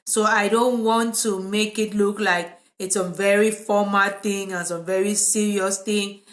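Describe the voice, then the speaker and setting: A woman with a Cameroonian accent, a psychotherapist talking casually and informally to viewers in a live vlog, with a relaxed, conversational manner. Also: mid-range voice, unhurried. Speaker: psychotherapist hosting a live video. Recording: echoey, reverberant room.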